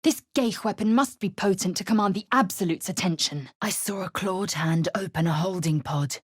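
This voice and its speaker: A woman playing a fierce, haughty alien warrior in a video game, with a husky, raspy voice and clipped, imperious, formal delivery. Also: lowish voice, moderate pace, dry recording, clean studio sound. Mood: cold, wary. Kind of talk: video game character acting.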